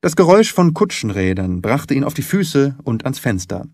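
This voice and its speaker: A man's voice: medium pitch, sing-song, moderate pace, darkish tone, dry recording. Man narrating a literary audiobook, dry sound. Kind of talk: scripted literary narration with character dialogue.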